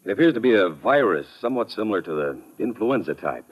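An actor playing a matter-of-fact army medical colonel in a vintage radio drama. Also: male voice, fairly low pitch, very animated, fast. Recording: old classic radio recording.

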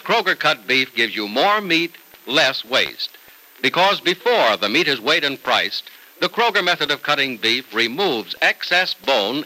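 A man's voice: mid-range voice, pushed and bright, poor audio. A man announcing in scripted 1940s radio style, with emphatic, polished salesmanship.

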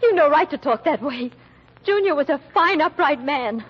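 An actress playing a trusting, earnest daughter who protests in a proper manner, in an old-time radio mystery. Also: female voice, sits high, animated, normal speed. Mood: indignant. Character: prim, indignant, trusting daughter of a publishing family. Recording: dull vintage radio recording with hiss.

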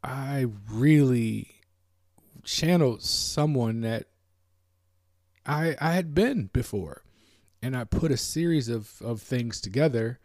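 A man from the United States hosting a podcast, talking alone in a reflective, halting way with many fillers and restarts. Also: mid-range voice, lively intonation, a little mellow, no echo, studio quality. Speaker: podcast host. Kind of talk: reflective solo talk.

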